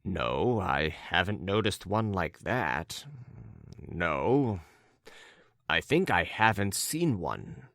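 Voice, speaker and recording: A man's voice: deep voice, very animated, very slow, a bit bright, dry recording, clean studio sound, pitched below the performer's own voice, exaggerated voice. A man voicing an irritated, impatient young man in a story, terse and brusque with grudging politeness. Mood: reserved, resolute.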